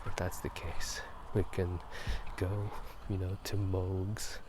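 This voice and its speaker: A man doing casual ASMR game talk in a soft, breathy half-whisper, musing in a halting, rambling way. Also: deep voice, level tone. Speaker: ASMR video host. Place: outdoors at night. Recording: noisy outdoor ambience. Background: drainage pipe water, passing cars.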